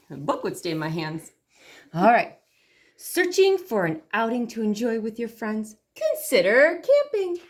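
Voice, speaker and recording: A woman hosting a library storytime, reading a picture book aloud expressively with chatty asides to the children. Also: a little high.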